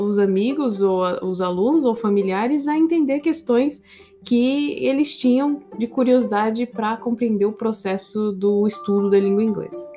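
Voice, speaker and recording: A Brazilian woman, an English teacher, hosts her own podcast in a chatty, animated way and talks straight to her listeners. Her voice sits a little higher than most women's. Soft guitar music plays quietly behind her. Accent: Brazilian Portuguese. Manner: chatty and animated, often addresses listeners directly. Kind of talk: casual solo podcast talk.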